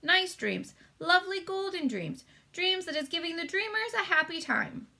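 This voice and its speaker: A woman voicing a big, gentle storybook giant who speaks wistfully in muddled, folksy grammar. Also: very high-pitched, animated, moderate pace, creaky, voice pushed up, exaggerated voice. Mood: dreamy.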